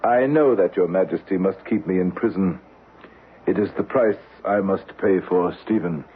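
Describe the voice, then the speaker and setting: A man's voice: low-pitched, normal speed, a little hiss or hum, lightly acted. An actor playing a courtly, deluded man who believes he is a medieval Scottish knight, speaking in formal, archaic phrases with solemn gallantry in a radio drama. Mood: solemn devotion. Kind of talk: 1970s radio drama acting. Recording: tinny band-limited old radio broadcast.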